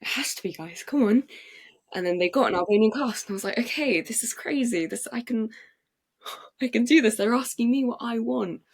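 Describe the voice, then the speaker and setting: A young English woman, a playwright and actress, telling her story in an excited, chatty way with many fillers and restarts. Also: fairly high pitch, brisk, breathy edge. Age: young adult. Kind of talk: casual interview storytelling. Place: podcast recording.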